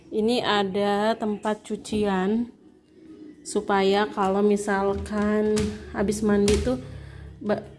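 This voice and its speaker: A woman giving a chatty, quiet tour of her home, talking to viewers as friends while filming on a phone. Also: mid-range voice. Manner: quiet, soft-spoken. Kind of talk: casual house tour narration. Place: inside a home.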